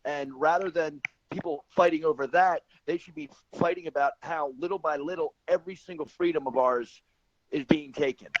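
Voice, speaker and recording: A man's voice: fairly high pitch, moderate pace, no echo. Guy hosting a talk show, rambles and restarts a lot, muffled lo-fi sound. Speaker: host.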